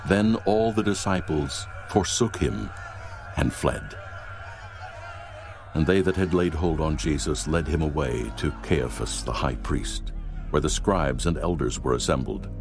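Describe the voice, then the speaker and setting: Male scripture narrator, extremely low voice, solemn and formal, clear music bed. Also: expressive, a little slow. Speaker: audiobook narrator. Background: background music.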